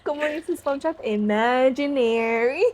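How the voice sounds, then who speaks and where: A woman with a high, bright voice and Spanish as her first language chats with her best friend on a podcast. She talks playfully and laughs often. A faint hiss of background noise sits under her voice. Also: normal speed. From Mexico. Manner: playful, laughing, switches into Spanish for quoted lines. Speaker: podcast co-host. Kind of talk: casual chat.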